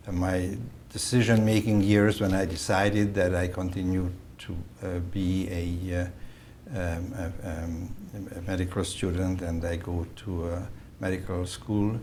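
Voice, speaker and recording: A man in his seventies from Hungary, a native Hungarian speaker, telling his life story in a slow, halting way with many fillers and restarts. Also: sits low, slight background noise. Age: 70s. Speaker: interview guest, vascular surgeon. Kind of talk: recorded oral-history interview.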